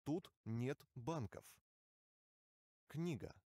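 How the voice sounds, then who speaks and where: A man reading single vocabulary words and short phrases aloud for a language course, with slow, careful enunciation. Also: mid-range voice, lively intonation, warm tone, breathy edge, dry recording, clean studio sound. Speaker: language course audio reader.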